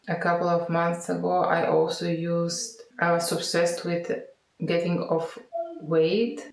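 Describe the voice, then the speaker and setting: Low-pitched, halting woman with Bulgarian accent. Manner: halting, searching for words, self-correcting. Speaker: vlogger practising English speaking. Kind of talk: unscripted monologue to camera. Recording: echoey, roomy sound.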